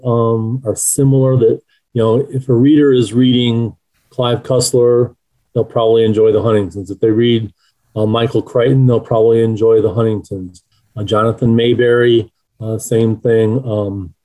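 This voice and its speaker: A man's voice: medium pitch, warm tone, rough recording. A middle-aged man speaking as an author being interviewed, answering in a conversational way.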